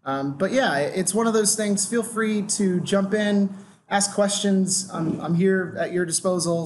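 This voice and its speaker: An American man from Washington, D.C., giving a casual, filler-heavy lecture on marketing to a live audience. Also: high voice. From Washington, D.C., USA. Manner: casual, loose, frequent "uh" fillers. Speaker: guest lecturer on growth hacking. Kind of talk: conference talk to a live audience.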